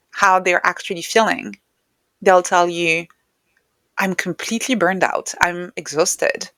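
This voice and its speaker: A woman's voice: fairly low pitch, fairly bright tone, no echo. A woman speaking as an interview guest, explaining ideas in a reflective, conversational style. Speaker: interview guest, neuroscientist and author.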